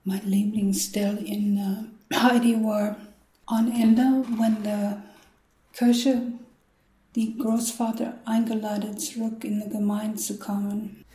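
In a very echoey room, a woman retells a story in German. Her voice is mellow and dark, and her delivery very slow. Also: medium pitch, flat delivery. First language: not German.

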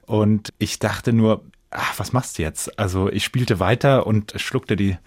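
A man's voice: on the low side, a little slow, no room sound, studio quality. A man from Germany, an actor, telling personal stories as an interview guest in a conversational way. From Bensheim, Hesse, Germany.

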